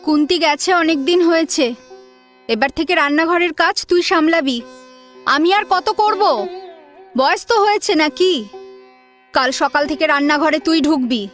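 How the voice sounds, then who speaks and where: অভিনেত্রীর কণ্ঠে চড়া গলার কর্তৃত্বপরায়ণ বয়স্কা গৃহকর্ত্রী, পেছনে বাজনা. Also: female voice, sits high, normal speed, long pauses, fairly bright tone. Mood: curt, complaining. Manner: commanding, complaining. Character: ageing, domineering matriarch assigning household chores. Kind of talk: Bengali audio drama acting. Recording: music under the voice.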